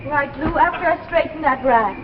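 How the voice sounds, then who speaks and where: An actress playing a loyal, principled young American wife, firm and anxious, in 1950s drama style. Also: female voice, high-pitched, moderate pace, in a large hall, a little hiss or hum, lightly acted. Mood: fond, assured. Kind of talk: 1950s television drama acting. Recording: muffled vintage broadcast, loud music behind.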